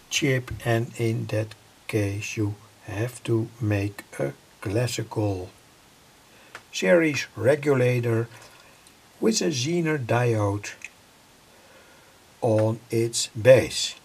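A man explaining a homemade electronic circuit informally, in a halting, deliberate way with pauses. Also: on the low side, vocal fry, breathy edge. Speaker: electronics hobbyist presenter.

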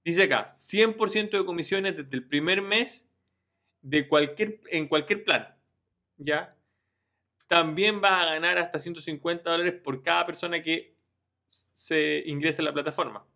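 A man in his thirties walks viewers through a screen-share tutorial, his voice sitting a touch high for a man. He talks informally and directly to the audience, checks in with them often, and restarts his phrases as he goes. He speaks from a room at home into a webcam. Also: a little slow, creaky, bright, forceful tone. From Chile. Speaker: online business youtuber.

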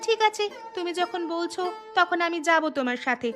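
A woman voicing a sulky high-pitched young wife. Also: expressive, fairly quick, over music.

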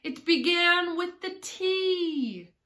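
A woman's voice: very high voice, lively intonation, drawn-out words, pushed and bright, voice pushed up, heavily acted. A woman voicing a nervous, miserable male hatter from a storybook, pleading timidly in a trembling voice.

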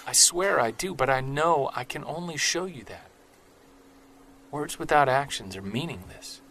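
An actor playing an earnest, soft-spoken Bigfoot investigator who narrates his own story in an audio drama. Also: mid-range voice, lively intonation, a little slow, a little hiss or hum. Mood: pleading.

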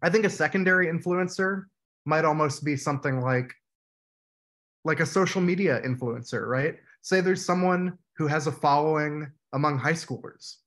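A man in his thirties leading an online workshop on a video call, explaining points in a measured way while his voice goes hoarse. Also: on the high side, fairly bright tone. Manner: measured, explanatory, says "right?" often. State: losing his voice, clearing throat. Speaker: workshop facilitator. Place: room at home, indoors.

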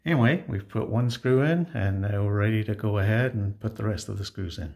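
A man giving a casual how-to narration of a home installation, explaining step by step with halting pauses mid-sentence. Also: on the low side, vocal fry, a little mellow. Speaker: DIY home installer presenting his project. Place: indoors in a home.

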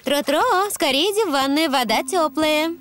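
A woman voicing an affectionate, gently firm mother donkey in a children's cartoon, expressive and motherly. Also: high voice, moderate pace, natural voice. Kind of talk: cartoon dubbing.

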